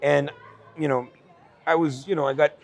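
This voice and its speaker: A man in his forties, originally from El Salvador, talks through his life story in an earnest, unpolished way, full of fillers and quick laughs. His voice is gravelly and rough-edged, sitting somewhat high for a man. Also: fairly even intonation. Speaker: guest entrepreneur. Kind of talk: candid conversational storytelling. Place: investment conference.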